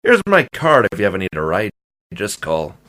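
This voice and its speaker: Man acting chatty cabbie, lively pitch, vehicle noise. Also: normal speed. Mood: chatty, musing. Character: chatty opinionated male cab driver, friendly, grumbling. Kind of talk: hobby radio play acting. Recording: amateur radio play, vehicle noise.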